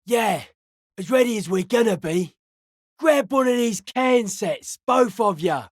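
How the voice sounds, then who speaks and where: An actor voicing a young, earnest, easily spooked counterculture club kid in a video game, with slangy, deadpan drawl. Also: male voice, high voice, a little slow, no echo, clean studio sound. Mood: focused, wary.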